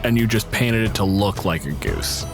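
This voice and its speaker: Male podcast host, lowish and animated, wry and casual, asking questions over music. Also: normal speed. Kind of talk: casual chat, improvised interview.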